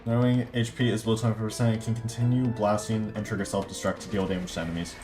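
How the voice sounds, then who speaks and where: Casting: casual male game streamer, lowish voice, off-the-cuff asides, faint game music behind him. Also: level tone, quick pace. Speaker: gaming streamer.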